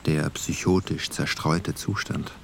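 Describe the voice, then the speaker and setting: An actor playing a reflective male essayist, narrating in a measured, solemn way for a radio play. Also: very deep voice, fairly even intonation, vocal fry, slight background noise, full character voice.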